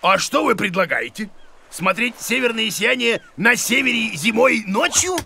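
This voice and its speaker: A man voicing a goofy, blustering, bossy and excitable bearded teacher in a children's cartoon. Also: high-pitched, moderate pace, gravelly, slight background noise, full character voice. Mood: cocksure. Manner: blustering, cocksure, exclaims in alarm.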